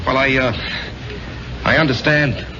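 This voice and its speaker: An actor playing a troubled, evasive ex-soldier in a 1970s radio drama, earnest and hesitant in manner. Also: male voice, medium pitch, steady tone, a little slow, crowd noise, natural voice. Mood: evasive, uneasy. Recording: noisy, muffled 1970s radio recording.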